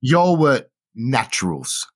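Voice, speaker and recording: A man's voice: mid-range voice, very animated, slow, no echo. A man plays a stage actress from a fantasy tabletop game, motherly and flirty. She gushes with praise and calls everyone love, in a close, dry sound. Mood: warm, grateful. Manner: gushing, calls people love. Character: warm, flirty, motherly theater actress Sheeny.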